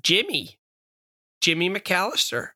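A man improvising comedy banter with a croaky, hoarse voice and a dry, understated delivery. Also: sits high, wildly swooping pitch, slow, sharp tone.

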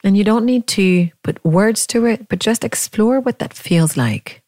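A woman's warm, mellow voice guides a meditation at an unhurried crawl, and she leaves long pauses between gentle, inviting questions. The recording is close and dry, with no room sound. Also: mid-range voice, lively intonation, no room sound. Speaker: meditation teacher.

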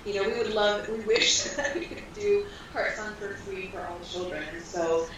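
A woman's voice: medium pitch, moderate pace, fairly bright tone. A woman guest describing her school in flowing, earnest interview answers with frequent ums, heard from far off in a very echoey, noisy room.

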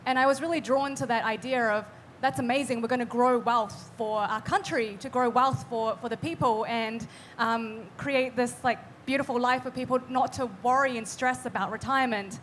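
Young woman from New Zealand, high-pitched, earnest live-panel guest; faint background hum. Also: steady tone, moderate pace, a bit bright. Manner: chatty, earnest, many fillers and restarts. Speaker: artist guest. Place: live event stage.

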